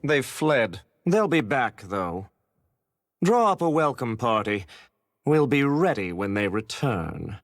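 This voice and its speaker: A man's voice: medium pitch, sing-song, slow, no room sound. A man playing a cruel, pompous villain lord in a video game, taunting in formal language. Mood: coldly commanding. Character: smug cruel male villain lord, mocking and imperious. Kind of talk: dramatic video game cutscene acting. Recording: music behind the voice.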